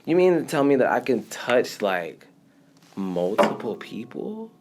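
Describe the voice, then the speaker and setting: A man, a podcast guest, speaking in a casual interview with animated storytelling that often laughs and trails off quietly. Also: mid-range voice, measured pace, slight background noise.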